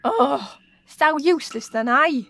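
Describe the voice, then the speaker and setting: A woman's voice: high voice, slow, a bit bright, heavily acted. A woman with an English accent playing a brash, teasing witch and jailer on the make in a comic radio sitcom, full of slang and mouthy banter. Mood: mocking.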